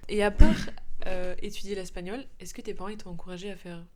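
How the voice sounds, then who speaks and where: A woman hosting a casual interview, gently curious and asking open follow-up questions. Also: medium pitch, fairly even intonation, quick pace. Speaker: host, interviewer.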